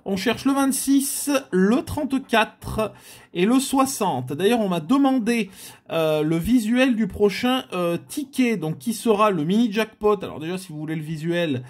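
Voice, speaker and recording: A man chats casually as the host of a scratch-card video. He talks in a relaxed, unhurried way, pausing often and filling the gaps with hesitations. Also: sits high. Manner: relaxed, hesitant, many "euh" fillers. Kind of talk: casual chat to camera.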